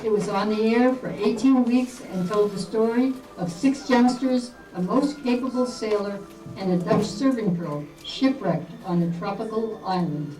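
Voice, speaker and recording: A woman's voice: mid-range voice, unhurried, dark tone. A woman reading a script as an old-time radio announcer. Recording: roomy, distant mic, music behind.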